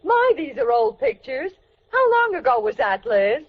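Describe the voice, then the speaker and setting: Fluttery high-voiced wife, noisy old radio. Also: female voice, big pitch swings, measured pace, muffled recording. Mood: nostalgic. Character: indignant, chummy middle-aged wife named Iris. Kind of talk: 1940s-50s radio sitcom acting.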